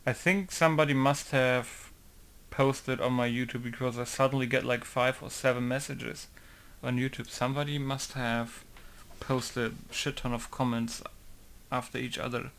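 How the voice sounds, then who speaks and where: A man modding a game, chatting casually and rambling to himself while he works, with quiet mutters between his remarks. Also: medium pitch, steady tone, moderate pace. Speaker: game modder. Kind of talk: casual talk while working.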